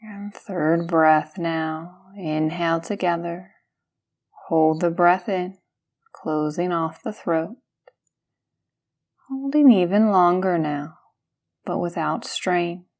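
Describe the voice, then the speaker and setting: A woman guiding a yoga nidra meditation, speaking gently and soothingly in calm, unhurried instructions with pauses between phrases. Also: on the low side. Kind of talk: guided meditation.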